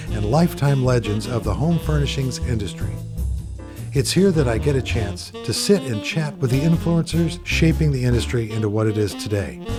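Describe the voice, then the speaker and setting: A man hosting a podcast, reading a polished introduction and then asking easygoing questions. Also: medium pitch. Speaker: podcast host. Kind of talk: scripted intro, then casual interview.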